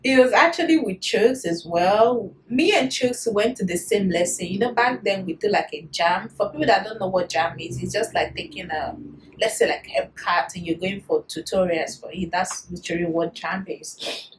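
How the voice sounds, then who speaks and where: A woman in her thirties with a Nigerian accent, chatting to camera in a casual vlog, animated and quick to laugh. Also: mid-range voice, a little hiss or hum, poor audio. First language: Yoruba. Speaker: vlogger.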